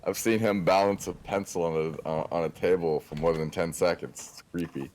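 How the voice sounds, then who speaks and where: A man's voice: low-pitched, fairly even intonation, brisk, a little mellow. A man joking around in casual voice chat, sometimes drawling out his phrases. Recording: clear background noise, clicks.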